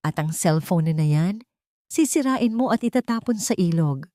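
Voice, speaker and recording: A woman's voice: fairly low pitch, animated, normal speed, warm tone, no room sound, clean studio sound, close to an everyday voice. A woman voicing a threatening, commanding older matriarch villain in an audio drama. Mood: menacing, commanding.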